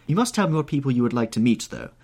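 A man voicing an elderly, gushing and bossy society hostess in an audiobook, with a husky voice. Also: medium pitch, very animated, racing, darkish tone, pitched above the performer's own voice.

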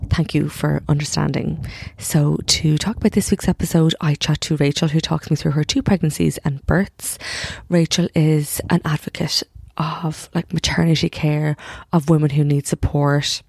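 A woman's voice: sits low, fairly even intonation, creaky, slightly rough, no echo. A woman hosting a podcast, chatting casually as she introduces a guest and interviews her. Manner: chatty, warm-hearted, quick asides and laughs. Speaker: podcast host.

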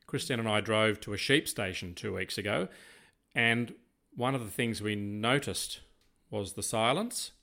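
Gravelly, low-voiced Australian man. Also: measured pace, sharp tone. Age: middle-aged. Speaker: podcast co-host. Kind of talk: reflective conversational chat.

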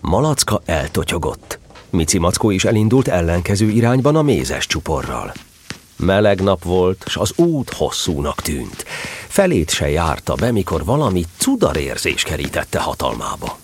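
A man narrating a scripted children's story with expressive, theatrical storytelling delivery. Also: sits low, a little hiss or hum.

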